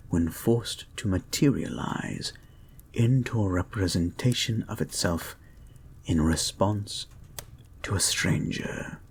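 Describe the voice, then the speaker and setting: A man playing a creepy, obsessive hypnotist therapist in an audio drama, murmuring calm, controlling guided meditation in a soft breath that often drops to a whisper. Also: lowish voice, a little hiss or hum.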